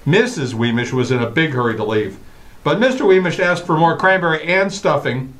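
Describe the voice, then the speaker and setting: A man's voice: a little high, a little fast, fairly bright tone, natural voice. A man playing an exasperated family father, eager to impress, in radio comedy style with a hesitant, stammering delivery. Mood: aggrieved. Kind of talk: old-time radio comedy acting.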